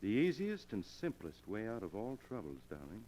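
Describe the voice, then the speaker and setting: An actor playing a smooth, fatalistic criminal lover, theatrical and persuasive, in 1940s radio drama style on an old recording. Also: male voice, on the low side, huge pitch swings, normal speed, dark tone. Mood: coolly persuasive. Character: smooth, fatalistic criminal lover urging his partner to suicide. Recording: noisy old golden age radio recording.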